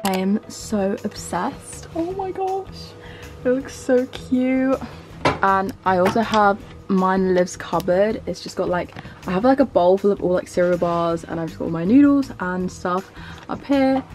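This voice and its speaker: A woman's voice: medium pitch, lively intonation, unhurried, over music. Bubbly young woman vlogging at home. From England. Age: young adult. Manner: bubbly, gushing, lots of "like". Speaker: lifestyle vlogger. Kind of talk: casual chat to camera. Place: small home bedroom and kitchen.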